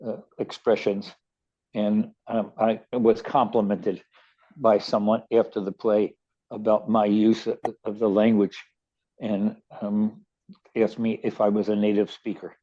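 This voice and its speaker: An older man with a Baltimore accent tells stories casually on the radio, restarting and repeating words as he goes. His voice is dark and mellow, and the recording sounds a little dull and lo-fi. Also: lowish voice, flat delivery, measured pace. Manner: rambling, many restarts and stammered repeats. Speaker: radio show host. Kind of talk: casual storytelling chat.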